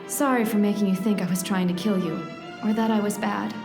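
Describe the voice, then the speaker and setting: An actress playing a devoted, plucky heroine in a parody radio drama, with a theatrical, sentimental delivery. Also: female voice, mid-range voice, fairly even intonation, fairly quick, close to an everyday voice. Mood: wry, devoted. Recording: roomy echo, music underneath.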